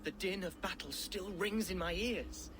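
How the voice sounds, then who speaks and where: An earnest young noble of medieval England in a game cutscene. His voice sits fairly high, with clear ambient noise behind it. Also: measured pace. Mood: weary, sober. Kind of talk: dramatic video game cutscene acting.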